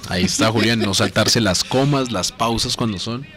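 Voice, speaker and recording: A man's voice: fairly low pitch, normal speed, a bit bright, a little hiss or hum. A man chatting casually as co-host of a radio show. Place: radio station booth.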